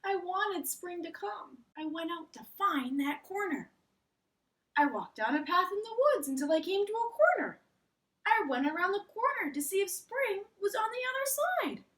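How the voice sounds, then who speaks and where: A woman voicing a kindly, earnest male frog telling his tale in an animated storybook read-aloud. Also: very high-pitched, moderate pace, far from the mic, voice pushed up, exaggerated voice. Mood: earnest, eager.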